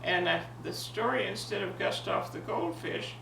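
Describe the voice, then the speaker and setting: An elderly woman of about ninety speaks with a creaky, gravelly voice and a jovial, expressive manner. She sounds like a grandmother sharing a story at home. The recording is roomy and a bit distant, with faint noise. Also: medium pitch, moderate pace. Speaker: grandmother telling a family story. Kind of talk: casual reminiscing and animated story reading for family.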